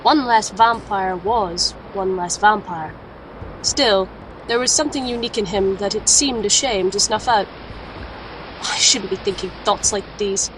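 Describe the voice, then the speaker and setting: A woman playing a resolute, thoughtful alchemist warrior who narrates an audio drama in a measured, serious way. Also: medium pitch, background noise, natural voice. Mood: brooding. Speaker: lead character and narrator. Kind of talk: audio drama dialogue and reflective first-person narration.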